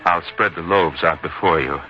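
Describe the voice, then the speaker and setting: A man playing a kindly, reverent disciple, earnest and deliberate, in an old-time radio drama. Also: sits low, soft background music, natural voice. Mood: awed. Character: kindly, reverent male disciple, gently authoritative. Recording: dull lo-fi radio sound, faint noise.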